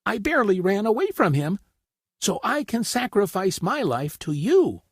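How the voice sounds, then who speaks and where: A man's voice: sits high, sing-song, normal speed, husky, dry recording, clean studio sound, pitched above the performer's own voice, heavily acted. A man voicing a small, timid but clever rabbit who speaks in a deferential, pleading manner in a fairy tale. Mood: frightened, pleading.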